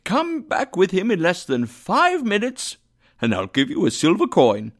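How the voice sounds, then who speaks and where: A giddy old miser reborn, acted out by a man, gushing with glee. His pitch leaps high and swings widely as he exclaims like a merry schoolboy in a storybook tale. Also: wildly swooping pitch, a little slow, full character voice. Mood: eager glee. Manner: exclamatory, gleeful, effusive. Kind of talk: dramatised storybook reading.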